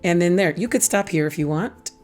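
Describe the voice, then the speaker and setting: Low, raspy-voiced woman hosting crafts. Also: a little fast, a little mellow, faint music behind. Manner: chatty, self-teasing, laughs at her own jokes. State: hoarse, losing her voice. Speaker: craft channel host. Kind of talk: casual craft tutorial voice-over.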